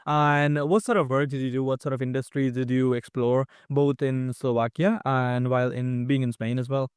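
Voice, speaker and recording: A man's voice: medium pitch, flat delivery, clean tone, no room sound, studio quality. A man hosting a podcast interview, asking questions and reacting enthusiastically. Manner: enthusiastic, quick questions and affirmations. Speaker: podcast host.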